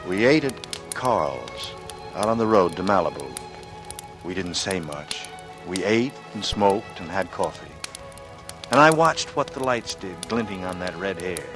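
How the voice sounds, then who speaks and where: An actor playing a brooding, calculating American writer in a 1940s radio noir drama, with measured, intense delivery. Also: male voice, low-pitched, lively intonation. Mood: reflective. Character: brooding, calculating male writer with a smooth charm. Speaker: lead actor and narrator. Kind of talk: 1940s radio noir acting, first-person narration. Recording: vintage radio drama, music underneath. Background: dramatic music.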